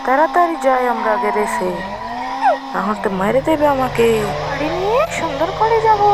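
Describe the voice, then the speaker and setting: A woman with a high, swooping voice chats casually to young children as she plays a game. She repeats herself in a childlike, exclaiming way. The recording is noisy, with vehicle sounds behind her. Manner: childlike, repetitive, exclaiming patter. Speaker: gameplay video host.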